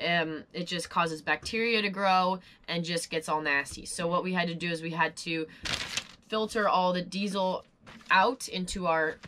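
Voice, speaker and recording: A woman's voice: lowish voice, normal speed, noisy background. A young woman sitting in a boat cabin, casually explaining boat work step by step. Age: young adult. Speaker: sailing vlogger.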